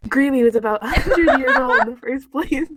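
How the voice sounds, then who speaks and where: A woman's voice: sits high, normal speed, a bit bright. Young-sounding woman on a noisy call. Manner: giggly, playful joking. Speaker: co-reader on a call. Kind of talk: casual chat while reading fanfiction aloud. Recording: noisy online call with clicks.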